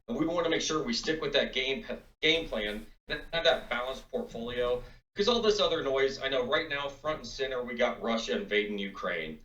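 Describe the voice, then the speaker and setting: A man giving a reassuring, steady client update as a financial advisor. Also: mid-range voice. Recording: roomy, distant, dull mic.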